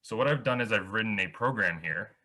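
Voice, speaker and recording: A man's voice: fairly low pitch, brisk, pushed and bright. A young man giving a beginner programming workshop over slides, explaining step by step with small restarts. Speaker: workshop instructor.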